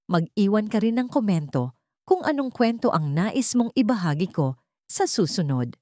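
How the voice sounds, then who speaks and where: A woman's voice: medium pitch, big pitch swings, moderate pace, dark tone, no room sound, studio quality. A woman with a Filipino accent reading a scripted folktale for children as a storyteller. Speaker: storyteller and channel host.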